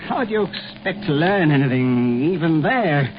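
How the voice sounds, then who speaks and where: An actor playing an earnest, idealistic scientist in a 1950s radio drama, with a hesitant, pleading manner. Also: male voice, a little high, lively intonation, normal speed, natural voice. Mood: indignant, pleading. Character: earnest, dreamy idealistic male scientist professor. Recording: muffled, noisy 1950s radio recording.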